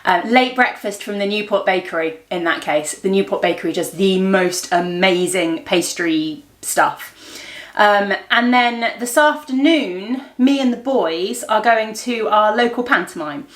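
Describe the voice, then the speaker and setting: A woman's voice: mid-range voice, moderate pace, a bit bright. A middle-aged woman chatting casually to camera in an informal, rambling vlog style, often thinking aloud. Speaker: vlogger and host of a daily family and craft vlog. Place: indoors at home. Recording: roomy, echoey indoor room.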